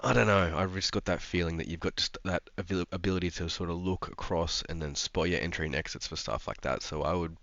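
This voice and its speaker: A man's voice: deep voice, steady tone, fast, gravelly, few pauses, fairly bright tone, no echo, lo-fi sound. A young man, stuffed up from a cold, chatting casually about sim racing with lots of ums and restarts. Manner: rambling, frequent ums and restarts. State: congested from a cold. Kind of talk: casual commentary to camera.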